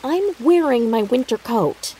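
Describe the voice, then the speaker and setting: A nervy, methodical teenage girl sleuth in an audio drama. Her voice is mellow and unforced, with an earnest, hushed delivery as she reasons things through. A light layer of ambient noise sits behind her. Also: a little high, animated, a little slow, rough recording. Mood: shy. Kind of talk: naturalistic audio drama dialogue.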